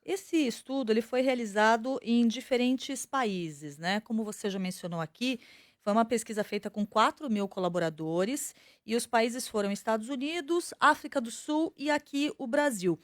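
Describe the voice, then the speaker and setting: A woman radio host asking clear, measured interview questions and checking in with "né?". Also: on the high side, animated, no room sound, clean studio sound.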